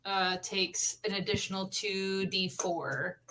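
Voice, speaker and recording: A woman's voice: mid-range voice, steady tone, takes it slowly, sharp tone, poor audio. A woman chatting casually as a player in a tabletop game.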